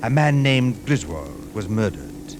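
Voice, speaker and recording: A man's voice: lowish voice, very animated, takes it slowly. An actor playing a suave, middle-aged businessman in a 1950s radio drama, formal and polite with an insinuating manner. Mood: veiled menace. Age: 50s. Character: suave, menacing middle-aged Greek businessman with veiled threats. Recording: noisy 1950s radio recording, vehicle hum.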